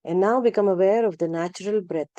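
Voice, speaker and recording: A woman leading a guided relaxation practice, speaking calmly and gently, with unhurried instructions and long pauses. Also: medium pitch, no echo, muffled recording. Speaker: yoga nidra guide. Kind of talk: guided meditation narration.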